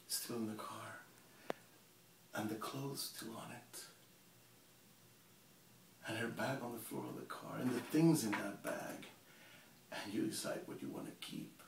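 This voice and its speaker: A man playing a cold, menacing serial killer confessing in a halting, intimate stage monologue. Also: mid-range voice, creaky. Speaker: amateur actor performing a monologue. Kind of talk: theatrical dramatic monologue. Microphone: camera mic at some distance. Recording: roomy, distant camera mic, hissy.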